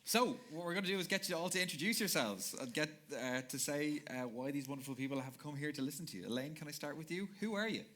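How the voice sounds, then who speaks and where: Fast-talking, joking male moderator. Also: on the high side, a bit bright. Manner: joking, rambling with many fillers and restarts. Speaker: panel moderator. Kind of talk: live panel hosting. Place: event hall with audience.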